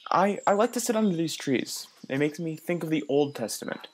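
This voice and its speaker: A man's voice: a little high, lively intonation, slightly rough. An actor playing an earnest young man from the city who muses aloud and stammers a little when he is unsure. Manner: earnest, halting with small stammers. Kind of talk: radio play acting.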